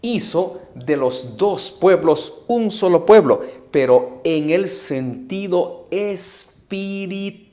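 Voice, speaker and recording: A man from Guatemala, preaching an emphatic sermon full of rhetorical questions and loud bursts. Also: sits high, expressive, slow pace.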